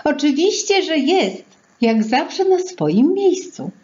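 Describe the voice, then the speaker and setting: A woman's voice: high-pitched, sing-song, takes it slowly, a little mellow, highs cut off, voice pushed up, full character voice. Woman playing a bubbly, lilting fairy-tale girl. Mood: cheerful. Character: cheerful affectionate young girl, one of the fairy-tale sisters.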